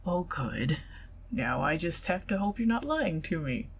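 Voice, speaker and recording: A middle-aged woman with a Southern US accent, speaking softly and partly in whispers in an ASMR date roleplay. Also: fairly low pitch, a little hiss or hum. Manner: intimate, soft-spoken, giggly, partly whispered.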